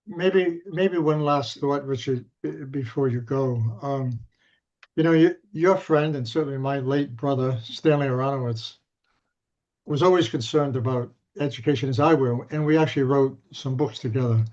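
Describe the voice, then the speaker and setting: A man interviewing a guest, thinking aloud in searching, halting sentences with frequent restarts. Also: mid-range voice, a little mellow. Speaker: interviewer, scholar. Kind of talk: academic interview discussion. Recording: dull lo-fi audio.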